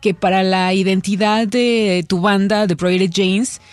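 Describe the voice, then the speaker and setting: A woman's voice: mid-range voice, steady tone, a little slow, no echo. A woman hosting a casual radio interview, chatty and full of tag questions. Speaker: radio host.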